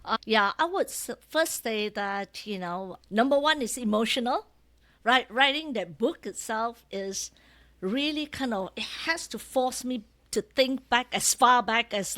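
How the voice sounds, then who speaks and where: High-voiced Malaysian woman telling stories. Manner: animated, often ends phrases with tag questions. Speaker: interview guest, author. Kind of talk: casual storytelling interview.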